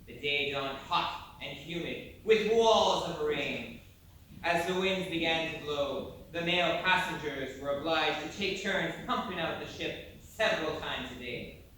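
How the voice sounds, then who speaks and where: A bright, fairly high male actor's voice narrates as a folksy emigrant storyteller. The delivery is measured stage narration, carried from a distance through a very echoey hall. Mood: grave storytelling. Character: male Irish emigrant storyteller recalling a perilous voyage. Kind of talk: dramatic scripted stage narration. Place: theatre stage, recorded from a distance. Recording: very echoey hall, distant mic, faint noise.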